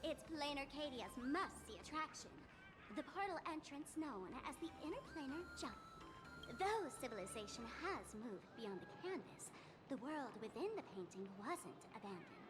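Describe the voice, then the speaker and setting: A woman's voice: high voice, very animated, moderate pace, over music. A woman playing a playful, confident young female guide in an anime game, teasing as she explains. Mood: explaining.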